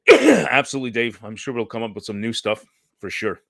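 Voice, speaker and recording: A man hosting a live-streamed talk show in a casual chatty way, hoarse and losing his voice, rambling and clearing his throat often. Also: medium pitch, huge pitch swings, normal speed, a bit bright, no room sound, clean studio sound. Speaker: host.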